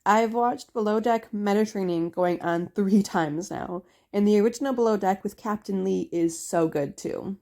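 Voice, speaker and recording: A young woman narrating a journaling video in a chatty, upbeat voice-over, slightly hoarse from a cold. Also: mid-range voice, moderate pace, few pauses, mellow. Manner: chatty, upbeat, talks straight to viewers. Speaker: bullet journal vlogger.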